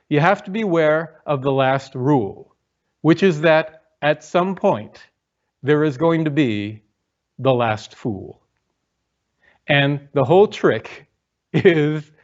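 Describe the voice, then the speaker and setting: A man's voice: a little high, muffled recording. A man giving an online lecture and answering viewer questions, chatty, reading them aloud with frequent ums.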